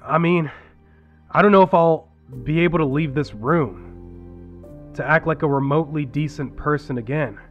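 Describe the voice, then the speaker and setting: A man playing a bitter, despairing survivor of a dying planet in an audio drama, profane, dramatic and halting. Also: fairly high pitch, highs cut off.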